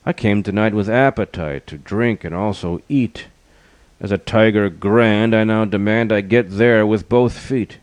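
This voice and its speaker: A man's voice: on the low side, a little slow, dry recording, close to an everyday voice. A man voicing a grand, indignant tiger, declamatory and demanding. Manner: declamatory, defiant.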